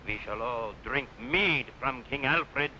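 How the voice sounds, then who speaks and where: An actor playing a boisterous, bloodthirsty Viking warlord, declaiming theatrically in 1950s television adventure style. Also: male voice, mid-range voice, a little slow, lightly acted. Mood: gloating. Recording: noisy old 1950s film soundtrack.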